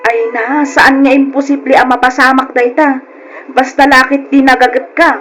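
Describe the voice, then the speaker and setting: An actress with an Ilocano accent playing a nosy, excitable, insistent townswoman in a radio drama, animated and emphatic. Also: sits high, moderate pace, a little hiss or hum. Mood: gossipy.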